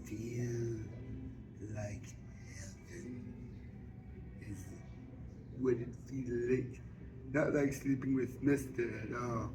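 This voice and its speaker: Slow, halting man reading a novel aloud. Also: medium pitch, fairly even intonation, loud music behind the voice, low-quality recording. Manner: halting, with stumbles and repeats. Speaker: amateur audiobook reader. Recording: faint background noise.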